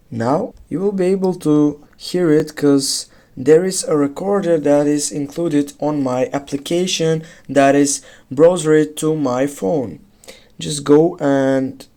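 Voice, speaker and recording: A tutorial host giving step-by-step how-to narration in halting, non-native phrasing. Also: mid-range voice.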